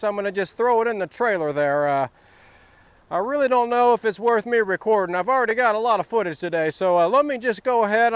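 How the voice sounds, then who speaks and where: A man's voice: on the high side, a little hiss or hum. A man with a Southern US accent and a sore throat, rambling through a casual outdoor vlog with many uhs and restarts. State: sore throat, voice not back. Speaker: vlogger. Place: outdoors, windy wood lot.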